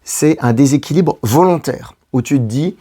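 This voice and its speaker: A hoarse man working as a love coach, speaking straight to the viewer in a casual advice monologue and repeating his key phrases. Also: medium pitch, moderate pace, mellow. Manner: addresses the listener directly, repeating key phrases.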